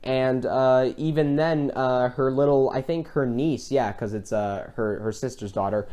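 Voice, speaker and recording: Male podcast co-host, casual film-chat style, animated and rambling storyteller. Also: medium pitch, a little slow.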